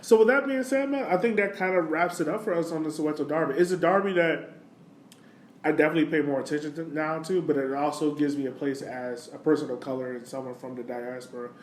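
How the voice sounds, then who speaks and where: A man's voice: a little high, quick pace. A man hosting a soccer podcast in casual conversation, often dropping in "you know" as he talks. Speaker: podcast host. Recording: roomy echo, clear background noise.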